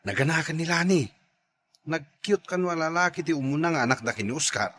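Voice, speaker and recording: A man's voice: a little high, brisk, a bit bright. A man with an Ilocano accent playing a good-natured, coaxing husband in a comedy drama, with some background noise.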